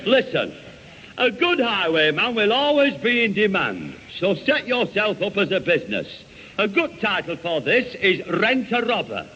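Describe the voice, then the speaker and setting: A man's voice: high-pitched, sing-song, moderate pace. An actor playing an exasperated, sarcastic father with a Northern English accent, grumbling and threatening in a radio sitcom. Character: exasperated, threatening working-class father, sarcastic grown man. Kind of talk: 1970 radio sitcom acting. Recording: muffled noisy vintage radio recording.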